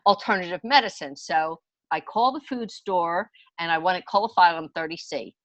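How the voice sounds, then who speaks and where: A woman's voice: lowish voice, normal speed, no room sound, muffled recording. A woman in her sixties telling personal stories in a chatty, emphatic, conversational way as a podcast guest. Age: 60s. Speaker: podcast guest, homeopathy enthusiast.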